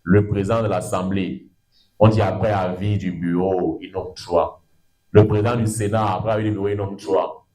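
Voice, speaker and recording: A middle-aged man with a Cameroonian French accent giving a university law lecture, lively and digressive, his pitch leaping up for emphasis and his words drawn out. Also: normal speed, poor audio. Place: lecture room.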